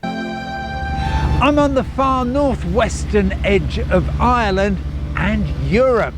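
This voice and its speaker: Lively travel presenter man over music. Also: high voice, slow. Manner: enthusiastic, polished delivery. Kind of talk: scripted travel narration.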